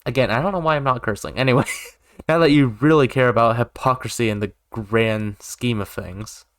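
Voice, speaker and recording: A man's voice: mid-range voice, normal speed, no echo, studio quality. A man reading a visual novel aloud as a gaming YouTuber, sometimes stepping in with casual asides.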